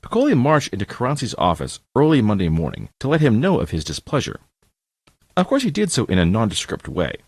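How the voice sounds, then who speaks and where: A man reading scripted nonfiction narration in a measured, formal, deliberate way. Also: fairly low pitch, wildly swooping pitch, breathy edge, no room sound. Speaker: audiobook-style podcast reader.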